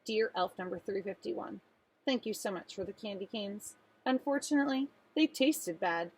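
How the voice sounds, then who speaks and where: A woman voicing an earnest little girl who tells her own storybook tale in an expressive, pleading way. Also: medium pitch, normal speed, breathy edge, poor audio. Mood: polite, pleading. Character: earnest little girl narrator with a sweet tooth.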